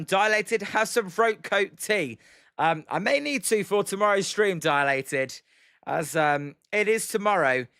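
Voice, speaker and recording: A young British man with a fairly high voice gives loose play-by-play while reading out chat. His voice is slightly croaky, and he fills gaps with "um" and "as". The sound is close and dry, with no room around it. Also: a bit bright, dry recording. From Britain. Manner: rambling, many "um"s and "as" fillers. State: slightly croaky from daily streaming. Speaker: live-stream baseball commentator. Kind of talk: casual play-by-play with chat replies.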